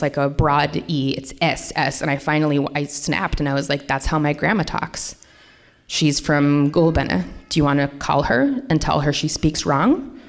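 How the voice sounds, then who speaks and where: An American woman in her forties with a Minnesotan accent, giving an informal lecture with frequent ums and restarts. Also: sits low. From United States.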